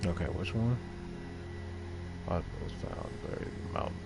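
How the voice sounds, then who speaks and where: A man's voice: low voice, normal speed, creaky, very noisy. A man streaming a video game with casual, off-the-cuff commentary.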